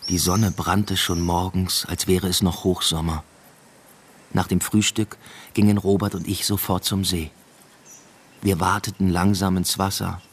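An actor playing a brooding husband and father, narrating a literary radio drama in a measured, introspective way. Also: deep voice, creaky, slight background noise.

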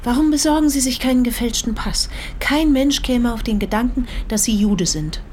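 A woman's voice: a little high, moderate pace, a little mellow, a little hiss or hum, natural voice. An actress playing a sympathetic, naive and slightly nervous married woman traveller in a German radio play, polite and earnest. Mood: probing, earnest.

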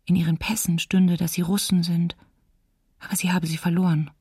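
A woman's voice: lowish voice, little pitch movement, moderate pace, darkish tone, breathy edge, no echo, clean studio sound. An actress playing a worried female diarist reporting alarming news in a documentary radio drama. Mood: worried, subdued.

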